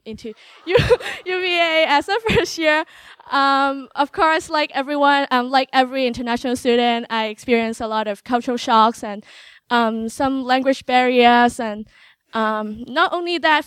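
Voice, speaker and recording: A woman's voice: a little high, clear, resonant tone, dry recording. Hesitant young woman. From Hong Kong. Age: young adult. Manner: hesitant, many filler sounds, light laughs. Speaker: student giving a testimony. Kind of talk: personal testimony on stage.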